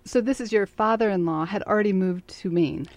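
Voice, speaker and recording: A woman's voice: mid-range voice, a little fast, a little mellow, dry recording. A woman hosting a community interview show, asking friendly, encouraging questions. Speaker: host.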